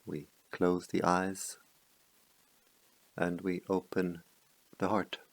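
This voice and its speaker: Deep, gravelly-voiced man guiding meditation. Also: no echo, poor audio. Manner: slow, calm, softly spoken with long pauses; chants Pali verses in a low murmur. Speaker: meditation teacher. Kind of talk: guided meditation.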